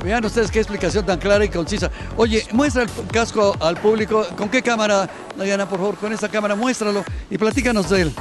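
Excitable mexican tv host guy, high voice, interviewing outside, music blaring under it. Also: fairly quick, hardly any pauses. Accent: Mexican Spanish. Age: middle-aged. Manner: enthusiastic, exclamatory. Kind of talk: TV sports interview. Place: outdoors by a fountain. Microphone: handheld mic.

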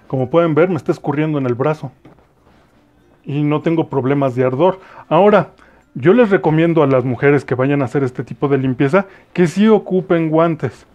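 A man's voice: fairly high pitch, normal speed, dull audio, soft background music. A man with a Mexican accent casually demonstrating a cleaning product in a kitchen, explaining to viewers as he works, recorded on a phone. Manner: relaxed, explanatory, addresses viewers directly. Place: kitchen, indoors. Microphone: phone camera.

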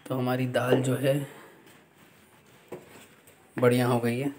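A man films himself cooking and explains each step to his viewers in a level, steady voice. He talks in a small home kitchen, into a phone camera held close. Clear noise from the kitchen sits behind him. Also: mid-range voice, slow pace. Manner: chatty, explains steps to viewers as he cooks. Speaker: vlogger. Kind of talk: casual cooking vlog.

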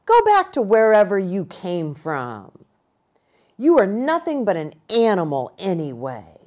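A reader as scornful, slow-spoken storybook in-laws. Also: female voice, mid-range voice, wildly swooping pitch, darkish tone. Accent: American.

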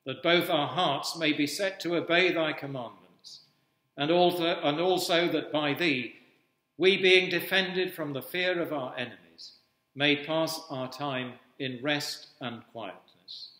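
A man in his sixties, an Anglican priest, reading traditional prayers in a solemn, measured, formal way in an echoey church. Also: a little high, pushed and bright. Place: indoors at a wooden-panelled cathedral altar. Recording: echoey church with long reverb.

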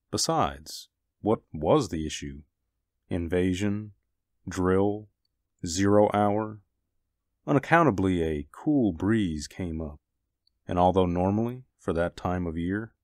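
A man's voice: low voice, a little mellow, no echo, clean studio sound. A man narrating an eerie suspense radio play in a dramatic storytelling manner.

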